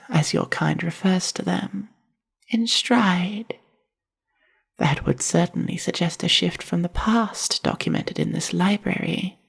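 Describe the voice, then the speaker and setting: A very breathy, husky young monster librarian, half spider and bookish, played by a woman, speaks softly in an ASMR roleplay. Her voice is heavy with creak and moves slowly, with formal, reserved and carefully measured wording. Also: mid-range voice, heavily acted. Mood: curious, measured. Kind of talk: ASMR roleplay, soft-spoken monologue to the listener.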